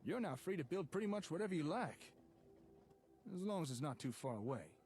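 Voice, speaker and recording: An actor playing a chatty, enterprising tinkerer in a wasteland game, talking in a folksy, easygoing, encouraging way, with faint noise. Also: male voice, a little high, very animated, fairly quick, darkish tone. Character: chatty, enterprising male tinkerer guiding wasteland settlement builders. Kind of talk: in-game character dialogue.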